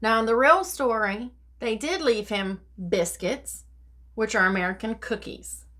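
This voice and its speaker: A woman telling stories to children in an expressive, theatrical, deliberate way. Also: on the high side. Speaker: storyteller reading to children. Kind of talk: expressive storybook reading in rhyme. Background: music.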